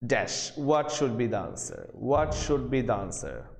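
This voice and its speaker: A man's voice: medium pitch, very animated, a little slow, vocal fry. A man with a Bangladeshi accent giving a live English grammar lesson as a lecturer, addressing students and often repeating himself. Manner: repeats phrases and questions, calls out names. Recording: very echoey room, long reverb.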